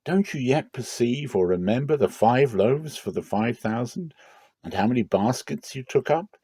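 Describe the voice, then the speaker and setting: A man's voice: mid-range voice, animated, moderate pace, warm tone, husky, dry recording, voice pushed up. A man voicing a stern, authoritative male teacher who instructs emphatically, in a dramatic scripture reading. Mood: exasperated reproof.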